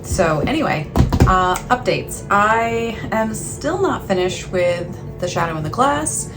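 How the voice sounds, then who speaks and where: A woman's voice: mid-range voice, lively intonation, slow pace, music in the background. A woman chatting casually to camera as a book vlogger, in a rambling, self-deprecating way, often trailing off mid-thought. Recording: clearly noisy, ambient background sound.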